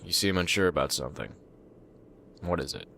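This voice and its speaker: A man's voice: low-pitched, expressive, a little fast, gravelly, bright, forceful tone, slight background noise, full character voice. A man playing a middle-aged, controlling father who sounds kindly, in an anime fan drama. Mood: calm, manipulative.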